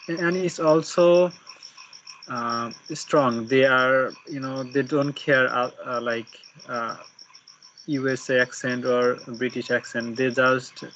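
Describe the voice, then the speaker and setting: A man learning English, chatting haltingly as he searches for words. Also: mid-range voice, pushed and bright. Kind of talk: casual practice conversation. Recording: dull, noisy with insect chirps.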